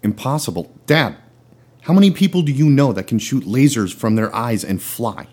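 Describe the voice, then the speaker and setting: Comedy sketch: male actor as twenty-something son, earnest, pleading, easily exasperated, very lively intonation. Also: medium pitch, normal speed, lightly acted. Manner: hesitant, pleading with his parents. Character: earnest exasperated 25-year-old son confessing secret superhero identity.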